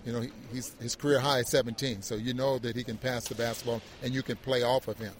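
A man's voice: medium pitch, flat delivery, quick pace. A man giving conversational post-game analysis, often repeating words and circling back as he makes his point. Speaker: sports analyst.

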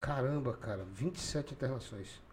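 A man's voice: medium pitch, normal speed, darkish tone, a little hiss or hum. A man hosting a casual podcast interview, chatty and prone to echoing his guest's answers back. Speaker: podcast host.

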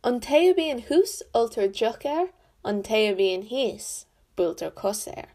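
A woman storyteller with an Irish accent, reading out proverbs in a deliberate, measured recitation with pauses. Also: fairly high pitch, very animated, a little mellow. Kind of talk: proverbs read aloud in Irish and English.

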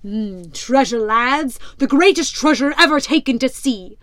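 Woman voicing a loud, menacing pirate captain. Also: high voice, animated, a little slow, no echo. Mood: rousing. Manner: growling, loud and threatening. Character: menacing male pirate captain, cruel and vain.

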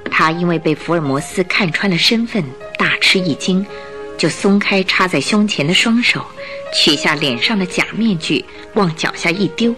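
A woman narrating a radio drama in an expressive, scripted storytelling style. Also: lowish voice, moderate pace, faint music behind.

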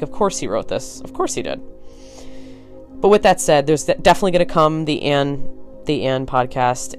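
Tired, blunt podcaster with a Connecticut accent. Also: mid-range voice. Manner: blunt and frank, swears, rambling asides. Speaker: podcast host. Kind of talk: casual solo podcast talk.

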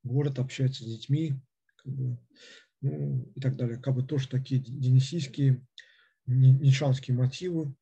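A man giving an unscripted philosophy lecture, halting and full of hesitations and fillers, on a dull lo-fi recording. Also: mid-range voice, steady tone, gravelly, warm tone, slightly rough.

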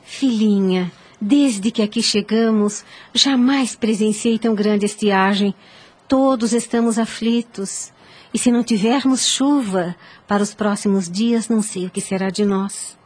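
A woman's voice: medium pitch, measured pace, darkish tone, natural voice. An actress playing a devout, dignified young widowed mother in a radio soap opera, speaking formal Portuguese with a Brazilian accent in an earnest way. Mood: worried. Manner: formal, earnest, literary diction.

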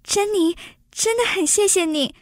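A woman's voice: very high-pitched, unhurried, no room sound, studio quality. A woman acting in a radio drama as a polite, hesitant, eager married woman asking a favour. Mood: grateful, admiring.

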